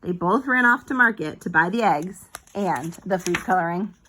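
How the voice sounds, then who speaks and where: A woman's voice: fairly low pitch, animated, moderate pace, sharp tone, slight background noise, poor audio. A woman reading a picture book aloud to young children like a teacher, expressively and with small explanatory asides.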